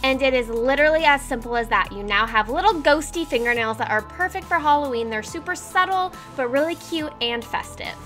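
A woman's voice: high voice, expressive, brisk, hardly any pauses, fairly bright tone, faint music behind. A young woman in her twenties chatting upbeat through a nail art tutorial in her bedroom, with self-mocking asides. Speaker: beauty vlogger. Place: bedroom, indoors.

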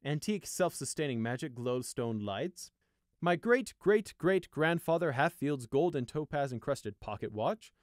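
A man's voice: a little high, expressive, measured pace, dry recording, studio quality, voice pushed up. A man voicing a wealthy, boastful male unicorn noble from a story, with an airy, self-satisfied way of drawing out his words.

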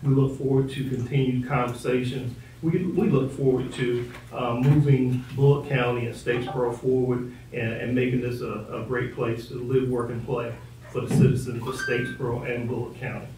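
A man with a mid-pitched voice gives a formal presentation as a city official. His delivery is measured and diplomatic. He sounds distant in a very reverberant meeting room. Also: flat delivery, warm tone. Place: indoor council chamber. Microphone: distant room microphone. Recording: echoey meeting room, distant mic, faint noise.

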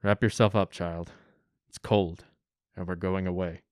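Man acting a stern secretive fugitive in a radio play, lowish voice, firm and terse, dry recording. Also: flat delivery, unhurried, a little mellow, clear, resonant tone, no echo. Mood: protective. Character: stern, secretive, resolute male fugitive protecting a child.

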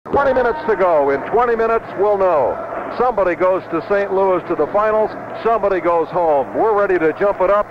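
A man's voice: high-pitched, expressive, fairly quick. A man calling live basketball play-by-play as a radio announcer, in short clipped phrases. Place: basketball arena broadcast position. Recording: dull, noisy vintage 1970s radio broadcast.

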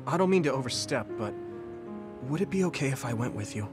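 Man playing a young game hero, eager, caring, lively pitch, music behind. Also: fast, close to an everyday voice. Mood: earnest. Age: young adult. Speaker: game protagonist. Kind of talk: game cutscene voice acting.

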